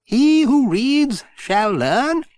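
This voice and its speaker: A kindly older village vicar, as a male storyteller puts him on, unhurried and solemn, lifted a little above the narrator's own pitch. The reading is close and dry. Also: very animated, darkish tone, dry recording, heavily acted.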